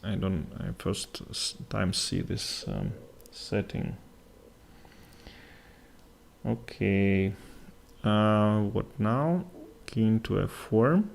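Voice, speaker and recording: A young man from Latvia with a Russian accent, commentating a live chess game in a halting way, with many fillers and pauses. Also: fairly low pitch, big pitch swings, vocal fry, slight background noise.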